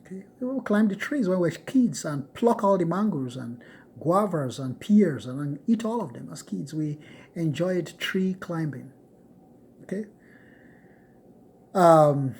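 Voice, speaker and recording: A man's voice: fairly high pitch, expressive, normal speed, a little mellow, slight background noise. A middle-aged man from Nigeria giving an emphatic, repetitive motivational lecture to his students.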